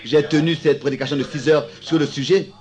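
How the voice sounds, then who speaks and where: A hoarse man preaching a sermon in a school auditorium, speaking with a slow, halting delivery and frequent restarts. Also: fairly high pitch, steady tone, darkish tone, highs cut off.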